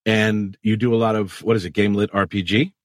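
A man's voice: lowish voice, steady tone, brisk, no room sound, clean studio sound. A man hosting a casual podcast, joking crudely and swearing a lot while interviewing his guest. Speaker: podcast host.

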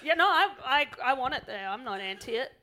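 A woman's voice: high voice, lively intonation, brisk, sharp tone. A woman from Brisbane with an Australian accent, chatting casually and joking as a guest on a live comedy panel. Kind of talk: casual comic banter. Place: live venue stage with audience. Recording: live show with faint background noise.

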